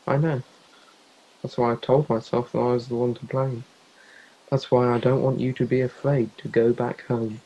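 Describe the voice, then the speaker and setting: Young man acting a mellow, worried teenage boy. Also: medium pitch, little pitch movement, normal speed, lo-fi sound, close to an everyday voice. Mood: sorrowful. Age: young adult. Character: worried, caring teenage boy, loyal friend. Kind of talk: youth theatre stage drama acting.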